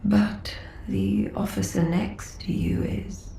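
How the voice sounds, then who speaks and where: An actress voicing an ailing, dignified grand master of a sci-fi council in a video game, frail and breathy, with formal, halting speech. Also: female voice, mid-range voice, very animated, mellow, roomy sound, in a whisper, exaggerated voice. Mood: grave, weary. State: unwell, coughing. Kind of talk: video game story dialogue.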